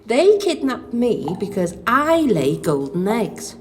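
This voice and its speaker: A woman's voice: fairly high pitch, big pitch swings, slow pace, a little mellow. A woman playing a plaintive, indignant talking goose held captive in an audio pantomime, with theatrical delivery. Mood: matter-of-fact. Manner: theatrical, exclamatory. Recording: long echoing dungeon reverb.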